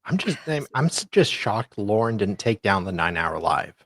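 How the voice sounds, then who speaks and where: A co-host mixing dramatic reading of documents with casual chat in a deliberate, wry way. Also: sits low, big pitch swings, a bit bright, dry recording, clean studio sound.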